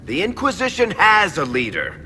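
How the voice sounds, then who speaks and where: A man's voice: sits high, wildly swooping pitch, normal speed, sharp tone, long reverb tail, a little hiss or hum, exaggerated voice. A man playing a commanding leader in a video game, firm and authoritative. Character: commanding male leader breaking up a quarrel. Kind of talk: dramatic video game acting.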